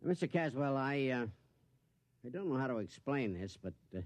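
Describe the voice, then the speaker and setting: Actor as a harried, animated company man. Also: medium pitch, a little fast, no echo, natural voice. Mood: apologetic, awkward. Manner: urgent, exasperated, formal. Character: harried, earnest male company official averting a murder. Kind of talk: 1950s radio acting.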